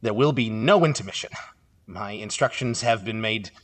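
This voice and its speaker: An actor playing a stiff, deferential screening-room attendant in a horror audio drama, formal and subdued. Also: male voice, on the low side, moderate pace, close to an everyday voice. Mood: stiff, apologetic.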